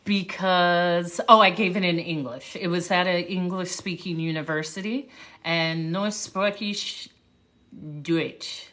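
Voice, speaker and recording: Woman speaker, casual livestream chat, slightly low voice, unhurried and reflective. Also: fairly even intonation, a bit bright. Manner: unhurried, reflective, talks with pauses and restarts. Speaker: livestream host, motivational speaker. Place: home livestream. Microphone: phone or webcam mic.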